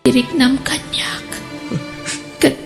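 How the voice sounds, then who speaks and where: A woman performs a selfless dying sweetheart in an Ilocano audio drama. Her high, tender voice pleads slowly in a Filipino Ilocano accent. Music is clearly heard behind her. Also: steady tone, exaggerated voice. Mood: tearful pleading.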